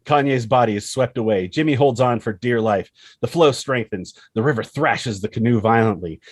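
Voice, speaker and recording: A man's voice: medium pitch, moderate pace, no room sound, clean studio sound. A man reading a screenplay's action lines as dramatic narration, expressively and in short punchy phrases. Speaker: screenplay reader.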